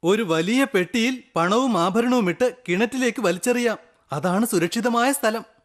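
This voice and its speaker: A man's voice: sits high, moderate pace, lightly acted. A man voicing a clever, witty and confident court jester in a children's cartoon. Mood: loud, scheming. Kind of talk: animated children's story dialogue.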